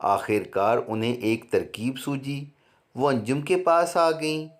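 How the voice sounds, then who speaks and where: A man narrating a children's fairy tale in a measured, deliberate storytelling manner. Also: medium pitch.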